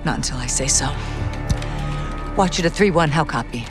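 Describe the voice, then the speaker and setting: A middle-aged actress playing an authoritative CIA station chief, firm and controlled. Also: female voice, low-pitched, animated, moderate pace, over music. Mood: firm, urgent.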